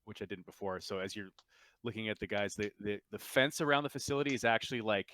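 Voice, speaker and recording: A man's voice: fairly low pitch, fast talker, bright, forceful tone, no room sound. A man running a tabletop roleplaying game as game master, explaining the scene informally. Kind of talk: casual tabletop game narration.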